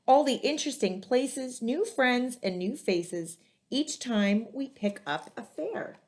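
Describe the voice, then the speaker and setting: A friendly, tall storybook taxi driver read by a woman with a fairly high voice. He speaks in gentle, inviting rhymes with a singsong swing. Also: lively intonation, slow. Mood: enthusiastic.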